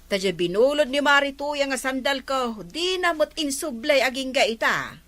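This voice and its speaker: A woman's voice: high voice, animated, moderate pace, a bit bright. A woman playing an aggrieved village neighbour complaining about borrowed things in an Ilocano comedy drama. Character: aggrieved village woman wanting her sandals back.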